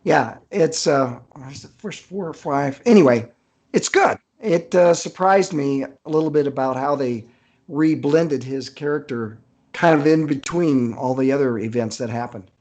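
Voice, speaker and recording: A man's voice: a little high, moderate pace, a little mellow. A man speaking as an author guest in a casual interview.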